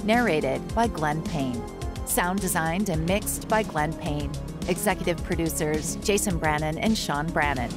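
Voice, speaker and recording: A woman with a slightly low voice reads scripted credits as an announcer. Music plays clearly behind her. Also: measured pace. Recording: voice over clear music bed. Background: background music.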